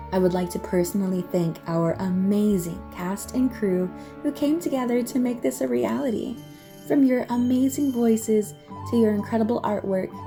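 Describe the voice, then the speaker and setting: A woman with a husky voice reading scripted audio drama narration as the narrator and host. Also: mid-range voice.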